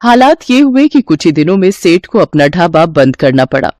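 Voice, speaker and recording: Woman narrator, scripted storytelling, very lively intonation, dry close sound. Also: on the low side, moderate pace, dry recording.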